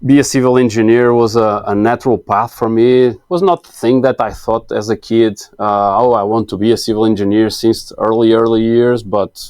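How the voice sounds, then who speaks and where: A man's voice: lowish voice, steady tone, moderate pace, few pauses, darkish tone, smooth, dry recording, studio quality. A man in his thirties from Portugal with a Portuguese accent, a guest engineer in a casual interview, hesitant with many fillers and restarts. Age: 30s.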